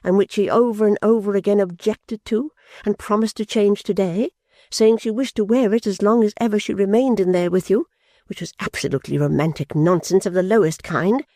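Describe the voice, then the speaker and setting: A man's voice: high-pitched, fairly quick, warm tone, dry recording, studio quality, pitched above the performer's own voice. A man voicing a vain, indignant young woman who scolds and frets in a haughty way.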